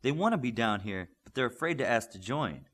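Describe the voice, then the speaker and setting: A seasoned superhero combat coach , acted by a man reading an audiobook. The delivery is blunt and instructive, with lively swings in pitch. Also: a little fast. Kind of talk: audiobook character voice.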